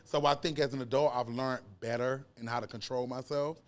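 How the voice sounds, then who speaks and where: A man's voice: mid-range voice, a little fast. A man in his twenties, a podcast guest talking casually in an earnest, emphatic way. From United States. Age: 20s. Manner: earnest, emphatic, animated storytelling. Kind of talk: casual conversation.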